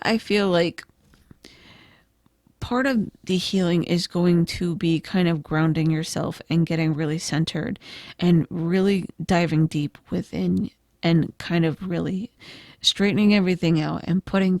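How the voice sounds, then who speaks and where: A woman losing her voice gives a gentle, reflective tarot reading, with frequent "I feel like" and "kind of". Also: fairly low pitch, no room sound, studio quality. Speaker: tarot reader. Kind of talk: pick-a-card tarot reading.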